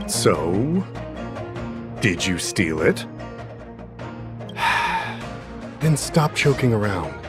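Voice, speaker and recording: An actor playing a shrewd, insistent investigator in a video game, deliberate and logical as he reasons and questions. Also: fairly low pitch, big pitch swings, exaggerated voice. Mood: accusing, insistent. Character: shrewd, insistent male investigator pressing an accusation. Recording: music behind the voice.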